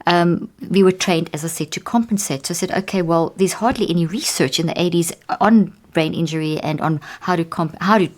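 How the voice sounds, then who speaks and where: A woman's voice: fairly low pitch, brisk, few pauses. A middle-aged woman with a South African accent, a neuroscientist telling stories in a podcast interview. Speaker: neuroscientist guest.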